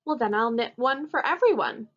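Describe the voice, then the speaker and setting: A woman's voice: a little high, expressive, moderate pace, fairly bright tone. A woman reading a storybook, voicing a polite, confident little girl who is matter-of-fact and firm. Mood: politely firm. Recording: dull lo-fi audio.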